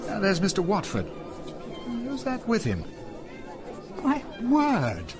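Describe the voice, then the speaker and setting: An actor playing a blunt, wry elderly country gentleman in a radio drama, with old-fashioned turns of phrase. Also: high-pitched, huge pitch swings, slow, a crowd in the background, heavily acted. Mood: wry, genial. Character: blunt, sociable elderly English country great-uncle. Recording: radio play with loud music behind.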